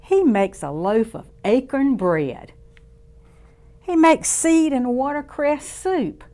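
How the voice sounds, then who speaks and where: Female children's storytime reader. Unhurried, expressive, sing-song delivery with plenty of pitch movement. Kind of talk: read-aloud storytime for young children.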